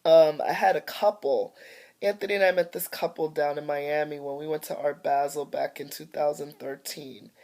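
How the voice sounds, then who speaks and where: Woman, low voice, mellow tone, slightly congested, chatty and grateful, home video. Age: middle-aged. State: chest congestion from allergies. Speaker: artist and vlogger. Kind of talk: casual home video update. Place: at home by a window. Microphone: phone or webcam camera mic.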